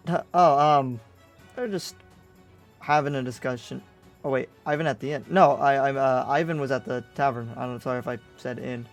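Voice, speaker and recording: A man playing an easygoing, agreeable newcomer to town in an improvised Minecraft anime roleplay, replying casually and a little haltingly. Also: mid-range voice, steady tone, lo-fi sound, soft background music, close to an everyday voice. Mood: casual, hesitant.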